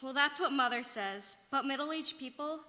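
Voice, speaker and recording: A woman's voice: high voice, normal speed, pushed and bright. A woman playing an earnest, pleading young daughter in love in an old-time radio drama, in an echoey hall. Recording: echoey church hall stage.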